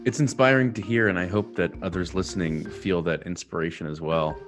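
Low-voiced man hosting a chat. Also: steady tone, fairly quick, over music. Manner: encouraging, conversational questions. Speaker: podcast host. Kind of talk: casual interview chat.

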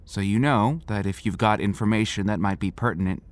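A man playing a patient, authoritative small-town sheriff in an audio drama, measured and gently firm. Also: lowish voice, lightly acted. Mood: exasperated, firm. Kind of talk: naturalistic audio drama acting.

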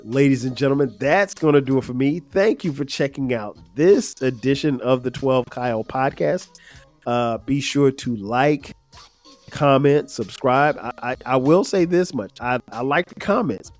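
A man in his fifties hosting his own podcast, talking in a relaxed, rambling way with lots of fillers, his voice a little nasal and stuffed-up. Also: mid-range voice, measured pace, soft background music. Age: 50s. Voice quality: slightly nasal, stuffed-up from sinus trouble. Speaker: podcast host. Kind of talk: casual solo podcast reflection.

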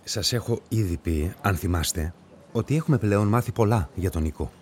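An actor in a radio play playing a patient, methodical police investigator who questions and explains in a measured way. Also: male voice, lowish voice, a little mellow, slight background noise, close to an everyday voice. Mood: firm. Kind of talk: radio drama acting.

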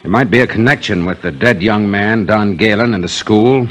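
An actor with an American accent playing a young, quietly authoritative police inspector, terse and probing, in 1950s radio style. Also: male voice, on the low side, normal speed. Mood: calm, probing.

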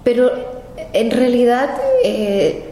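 A woman's voice: on the high side, steady tone, slow pace, warm tone, slight background noise. A Chilean woman in her thirties with a Chilean accent, answering interview questions in a hotel room, hesitant, with many fillers and repeated words. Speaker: guest singer. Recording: roomy echo with faint ambience.

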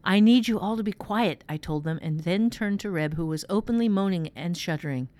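A woman reading a fantasy story as its steady, protective boy narrator, in measured phrases. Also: low-pitched, dry recording, close to an everyday voice. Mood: urgent. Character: first-person boy narrator, steady, protective dormitory pupil.

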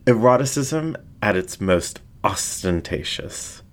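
A male narrator reads fiction in a low-pitched voice. The pace is unhurried and deliberate. His intonation moves a great deal, giving the storytelling a dramatic feel. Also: animated.

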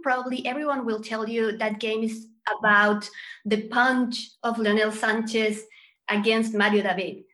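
A woman from Chile, a guest journalist, chatting casually with fillers and lively turns. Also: on the high side. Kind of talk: casual chat.

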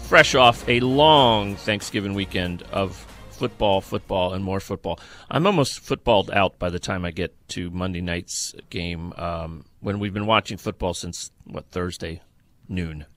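A man's voice: deep voice, unhurried, fairly bright tone, faint music behind. A man co-hosting a sports podcast, talking football in a casual, conversational way.

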